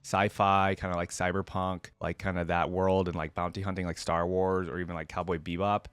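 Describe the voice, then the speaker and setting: Guy doing casual reaction commentary, lowish voice, really dry sound, slangy and into it. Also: no echo. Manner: informal, slangy, enthusiastic approval. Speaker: reaction video host.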